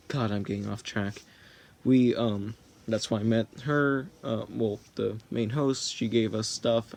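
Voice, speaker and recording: A man's voice: on the low side, darkish tone. A teenage boy rambling through a story in a casual, jokey way, often stopping to correct himself. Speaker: vlogger.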